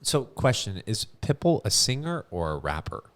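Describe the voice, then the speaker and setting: A man's voice: on the low side, sing-song, normal speed, fairly bright tone. A man co-hosting a casual podcast, teasing the others with dry quips. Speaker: podcast co-host. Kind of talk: casual chat.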